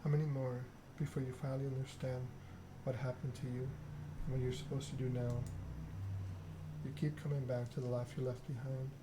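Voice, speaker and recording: A man softly narrating a story game in ASMR style, reading in a measured, deliberate way. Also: medium pitch, level tone. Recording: clearly noisy background ambience.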